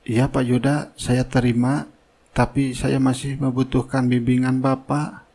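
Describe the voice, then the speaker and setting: A man's voice: medium pitch, completely flat delivery, measured pace, dark tone, breathy edge. Man as a sly, falsely meek schemer. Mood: meek, deferential. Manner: deferential aloud, sly in private. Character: scheming gold-digging young worker, outwardly meek and innocent. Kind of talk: cartoon drama dialogue and inner thoughts.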